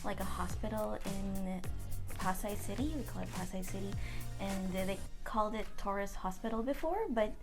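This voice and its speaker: A woman's voice: medium pitch, steady tone, moderate pace, music in the background. A woman in her thirties from the Philippines, chatting as an interview guest, laughing often and using many fillers. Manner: giggly, chatty, lots of fillers. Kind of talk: casual interview chat.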